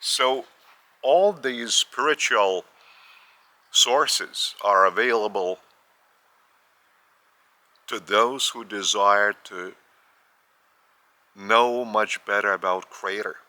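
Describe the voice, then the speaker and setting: A man with a Lithuanian accent teaches in a very slow, measured way, often repeating and correcting himself. His voice sits a little low in pitch but sounds strikingly bright and forceful. He speaks English as a second language. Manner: measured, with repetitions and careful restarts. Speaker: spiritual teacher.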